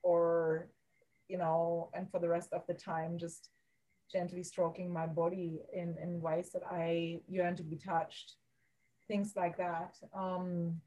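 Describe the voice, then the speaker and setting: A woman with a German accent speaks in a mellow, low voice with very little rise and fall. She talks slowly and hesitantly, often pausing to find her words as a podcast guest. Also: little pitch movement, rough recording. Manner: soft, halting, searching for words. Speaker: guest, women's coach. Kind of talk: podcast interview.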